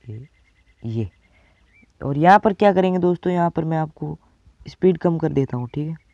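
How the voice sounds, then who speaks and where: A man's voice: a little high, lively intonation, normal speed, creaky, darkish tone, no room sound, highs cut off. A teenage male from India giving a casual step-by-step tutorial, addressing viewers as friends and often checking they follow. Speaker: tutorial presenter.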